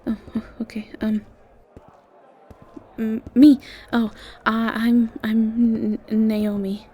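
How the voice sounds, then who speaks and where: A woman's voice: fairly high pitch, little pitch movement, slow pace, warm tone. A woman playing a shy, sweet, anxious young academy student in an audio roleplay, stammering nervously and apologizing as she goes. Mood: flustered, hopeful. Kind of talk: audio roleplay acting, addressed to the listener.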